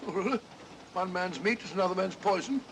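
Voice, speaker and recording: Blustery, creaky older British doctor. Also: male voice, high voice, a little fast. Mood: genial, chatty. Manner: blustery, chatty, genial. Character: amiable older English doctor, opinionated, easily startled. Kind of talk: 1940s mystery film acting. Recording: noisy old film soundtrack.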